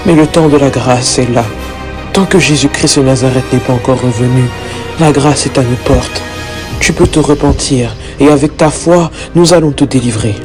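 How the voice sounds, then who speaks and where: A man's voice: mid-range voice, normal speed, close to an everyday voice. Man acting earnest devout father over music. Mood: solemn, reassuring. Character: devout, protective, remorseful father of a grown daughter. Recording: background music under the voice.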